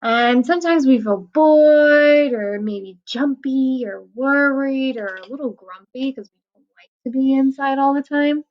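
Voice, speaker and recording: A woman reading a picture book aloud to children, explaining the pages in a gentle, patient way. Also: sits high, a little slow, highs cut off.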